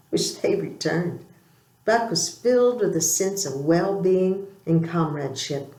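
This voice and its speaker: A woman's voice: lowish voice, a little mellow. A woman reading a storybook aloud as scripted audiobook narration. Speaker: audiobook reader. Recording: roomy, echoing amateur recording.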